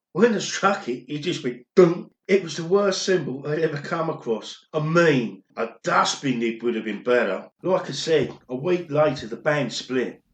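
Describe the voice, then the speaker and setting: A man's voice: medium pitch, natural voice. A man playing an older, regretful former pop drummer who confesses an old secret in an amateur radio play. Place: recorded alone at home, indoors. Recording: roomy home recording.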